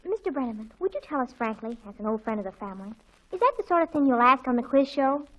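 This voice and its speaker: Gushing, high-pitched, fast-talking teenage girl. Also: animated. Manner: gushing, earnest, overdramatic. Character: excitable, gushing teenage girl, dramatic and scheming. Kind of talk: 1940s radio comedy acting. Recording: dull old 1940s radio recording.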